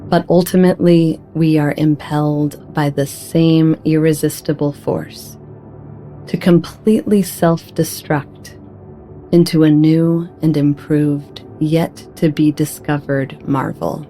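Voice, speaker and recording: A woman reading a poetic spiritual meditation in a solemn, measured, deliberate way, as an audiobook narrator. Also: deep voice, fairly even intonation, warm tone, soft background music.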